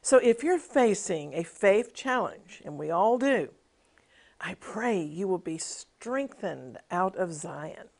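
A woman's voice: mid-range voice, lively intonation, takes it slowly, vocal fry, a little mellow. A middle-aged woman television minister giving a devotional teaching with a personal testimony, in an earnest, emphatic preaching cadence.

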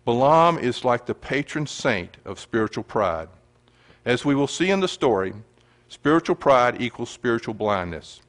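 A man gives an earnest sermon-style teaching and reads scripture aloud to a congregation. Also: medium pitch. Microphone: handheld or stand mic.

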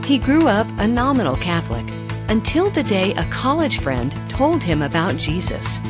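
A woman's voice: medium pitch, sing-song, moderate pace. An American woman reading a scripted broadcast profile as a narrator. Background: music.

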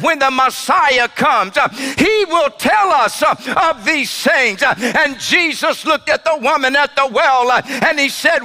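A man preaching a sermon in an emphatic, declamatory manner, with deliberate dramatic phrasing and drawn-out key words. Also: extremely high pitch. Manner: emphatic, declamatory, often raising voice to a shout.

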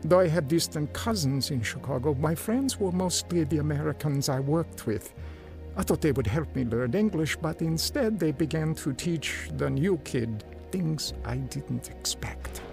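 Music plays behind an earnest young immigrant man in a radio drama. His voice is lightly high and moves up and down a lot, with a naive air. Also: expressive, creaky, a little mellow. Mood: rueful. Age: young adult. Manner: hesitant, halting broken English in dialogue. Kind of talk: radio drama acting and first-person narration.

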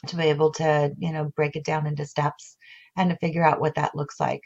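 A woman who coaches on video marketing, talking in a casual interview with animated delivery and lots of restarts. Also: low-pitched, fairly quick. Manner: animated, many restarts and fillers. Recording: dull lo-fi audio.

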